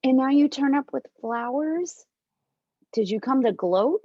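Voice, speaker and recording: A woman acting a flustered, wounded woman with a cold in an amateur read-through of a romantic comedy, halting and hesitant. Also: sits high, expressive. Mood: wary, defensive. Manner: halting, deliberate, with hesitant restarts. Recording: dull, roomy home recording.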